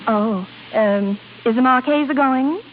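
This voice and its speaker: An actress playing a proud, lovestruck opera singer in a theatrical, halting 1930s radio drama style. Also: female voice, sits high. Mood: cool, guarded. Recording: scratchy, tinny 1930s radio broadcast.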